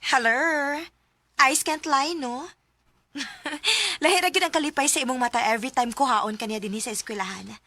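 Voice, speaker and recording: A woman's voice: sits high, moderate pace, pauses often, bright, forceful tone. An actress with a Cebuano Filipino accent playing a wise, observant mother advising her grown daughter in a radio drama. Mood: teasing, curious.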